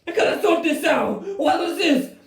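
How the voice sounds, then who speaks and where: A man's voice: extremely high pitch, lively intonation, moderate pace. Male performer: a hot-tempered critter stuck as a duck, rants and wails in a roomy space. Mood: outraged. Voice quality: shouty, whiny, grumbling. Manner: dramatic, wails and complains. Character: hot-headed male echidna turned into a duck. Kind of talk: playful homemade toy play. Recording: roomy echoey room.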